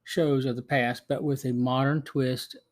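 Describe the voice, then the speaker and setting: A man's voice: medium pitch, little pitch movement, unhurried. A middle-aged or older man hosting a podcast, reading a scripted film review with the occasional stumble. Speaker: podcast host.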